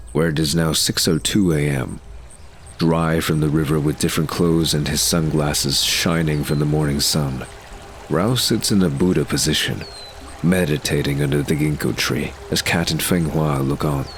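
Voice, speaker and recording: A man's voice: very deep voice, steady tone, moderate pace, slight background noise. A man giving scripted, present-tense narration as the narrator of an audio drama.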